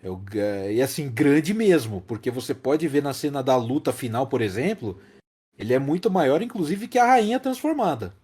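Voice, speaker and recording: Animated Brazilian man explaining on a podcast. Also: mid-range voice, normal speed, breathy edge. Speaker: podcast host. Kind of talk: casual film discussion.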